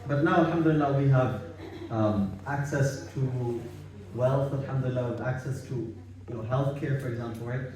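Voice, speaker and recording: A man's voice: mid-range voice, moderate pace. A man from Bangladesh gives an informal religious talk in a conversational, repetitive way, often checking that listeners agree. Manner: conversational, repetitive, seeking agreement with tag questions. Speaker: Islamic study circle speaker. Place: gathering in a private house. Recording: echoey room, distant microphone.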